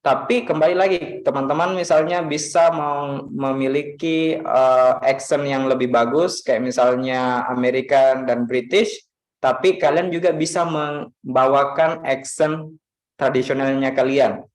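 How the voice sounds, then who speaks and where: A man from Makassar, Indonesia, teaching an online English lesson in a relaxed way, with lots of fillers and repeated phrases. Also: medium pitch, unhurried, echoey room, low-quality recording.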